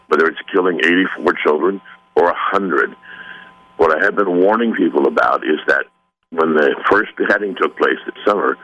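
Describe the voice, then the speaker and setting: Super deep guy w a sore throat, bright edge, earnest, lots of restarts, dull lo-fi line. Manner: earnest, halting with many restarts. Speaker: author guest. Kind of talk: phone-in interview.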